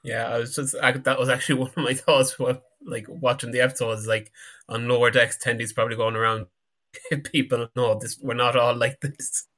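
A man's voice: mid-range voice, level tone, brisk, sharp tone. A man chatting casually as a guest on a podcast. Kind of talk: casual chat.

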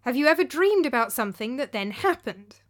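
Woman reader playing a level-headed man in a storybook, gentle and firm, lightly raised voice. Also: high voice, brisk, pitched above the performer's own voice. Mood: reassuring. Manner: calm, gentle, firm reassurance. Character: calm, sensible older male host.

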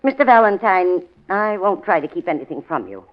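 An actress playing an anxious, suspicious widow in a 1940s radio drama. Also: female voice, fairly low pitch, big pitch swings, brisk. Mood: worried, suspicious. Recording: dull old 1940s radio recording.

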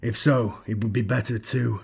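A man's voice: medium pitch, fairly even intonation, normal speed, creaky, a little mellow, breathy edge. A man voicing a weary, grimly resolute male tech-priest and mentor, grave and muttering, in a sci-fi audiobook. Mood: weary dread.

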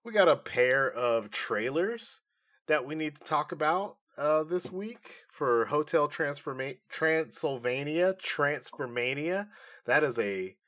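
A man hosting a podcast, explaining things in a casual, animated and informal way. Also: a little high, slow pace. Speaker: podcast host. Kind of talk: casual nerd-news podcast chat.

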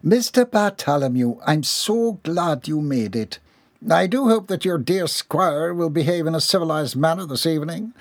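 A genteel lady hostess , voiced by a reader, raised above the reader's own pitch, speaking politely and properly. Her intonation rises and falls widely, recorded dry and close. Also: expressive, normal speed, no echo.

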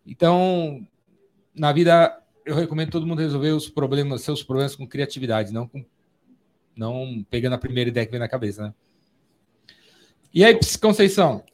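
Brazilian guy hosting a podcast, medium pitch, slangy and super informal, chatty. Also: fairly quick, pauses often. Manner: informal, slangy, checks in with listeners. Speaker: podcast host. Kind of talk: casual chat, interviewing.

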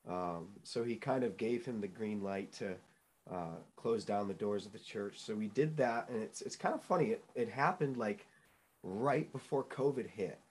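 Young guy from new england, kinda low voice, earnest, lots of ums and restarts. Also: animated, normal speed, husky. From New England, United States. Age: young adult. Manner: earnest storyteller, many fillers and restarts. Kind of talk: casual interview chat.

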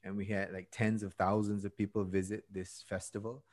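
A man in his fifties from Malaysia talks as a podcast guest, his voice sitting a little low. He tells stories at an easy pace, laughs at himself and often lets a sentence trail off. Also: level tone, a little fast, darkish tone. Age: 50s. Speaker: podcast guest, TED speaker. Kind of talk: conversational storytelling.

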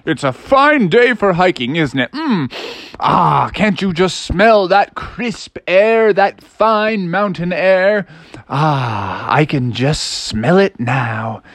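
A woman's voice: lowish voice, expressive, no echo, pitched above the performer's own voice, exaggerated voice. A woman voicing a folksy father frog in a children's story, cautious and easily flustered, drawing out his phrases. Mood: jovial. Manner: folksy dialect, drawn-out phrases.

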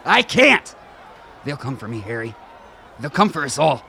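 A man's voice: medium pitch, sing-song, moderate pace, creaky, pushed and bright, a faint crowd, slight background noise, heavily acted. An actor playing a deranged, paranoid middle-aged father in a radio drama, raving feverishly about invisible creatures. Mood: panicked shouting. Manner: agitated, frantic, paranoid.

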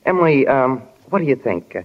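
A man's voice: medium pitch, sing-song, fairly quick, close to an everyday voice. Man playing a bashful, hesitant teenage boy. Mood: bashful, admiring. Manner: hesitant, awkward, boyish. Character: bashful, admiring sixteen-year-old small-town boy. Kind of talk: 1940s radio drama acting. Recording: dull 1940s radio recording.

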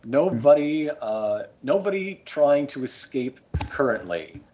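A man's voice: medium pitch, expressive, slow, noisy background. A man running a tabletop game as game master, describing scenes with many hesitant fillers. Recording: muffled lo-fi recording.

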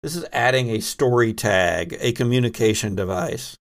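A man hosting a tabletop role-playing game as game master, improvising narration and often pausing mid-sentence to find his words. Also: mid-range voice, animated.

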